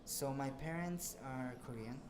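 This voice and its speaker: A young man in his twenties from Brazil, a student answering interview questions in a relaxed, hesitant way, often pausing to search for words. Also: medium pitch, noisy background. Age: 20s. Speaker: student guest.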